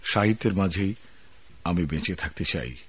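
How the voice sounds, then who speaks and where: A man's voice: deep voice, animated, normal speed, breathy edge. A man playing a principled office manager who answers accusations with formal, dignified defiance in a radio drama. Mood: resigned, calm. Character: proud, principled male office manager and writer. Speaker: administration manager. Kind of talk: broadcast radio drama acting.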